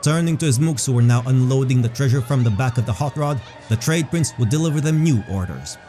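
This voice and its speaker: A man reads scripted fantasy narration. His voice is mid-pitched, dark and mellow, and he describes things in a measured storyteller's way. Faint music plays behind him. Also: expressive.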